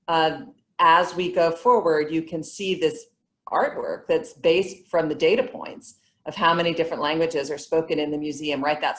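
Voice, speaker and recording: Low-voiced woman explaining her museum. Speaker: museum representative. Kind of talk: panel discussion answers.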